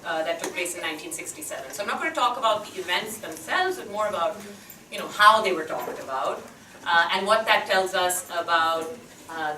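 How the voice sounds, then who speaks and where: A woman's voice: mid-range voice, pushed and bright. A woman giving an unscripted academic talk at a seminar, thinking aloud with many hesitations and fillers, speaking without a microphone. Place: lecture hall, speaking without a mic. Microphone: no mic, picked up from a distance. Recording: echoey hall, distant mic, faint hiss.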